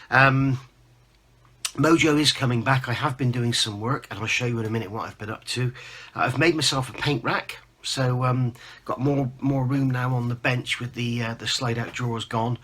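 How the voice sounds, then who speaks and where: A man with a croaky voice, recovering from losing it, chatting informally as the host of a scale modelling vlog. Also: mid-range voice, fairly bright tone. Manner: chatty, informal, rambling show-and-tell. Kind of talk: casual hobby update to camera.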